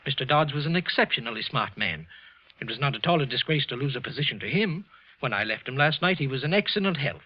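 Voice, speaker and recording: A man's voice: mid-range voice, lively intonation, quick pace, close to an everyday voice. A man acting a nervous, resentful clerk who secretly killed, with a hesitant, evasive manner, in an old-time radio suspense play. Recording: muffled vintage radio recording, background music.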